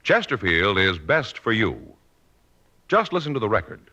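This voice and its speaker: Old-time radio ad guy, super lively pitch swings, bright punchy sell, dull vintage sound. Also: normal speed. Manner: emphatic, salesmanlike. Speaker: commercial announcer. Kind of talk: 1950s radio advertising pitch.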